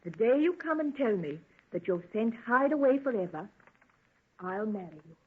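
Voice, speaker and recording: An actress playing an anxious, devoted young fiancée in an earnest, pleading golden age radio drama style. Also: female voice, fairly high pitch, sing-song, moderate pace, breathy edge. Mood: imploring, firm. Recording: tinny band-limited vintage radio recording.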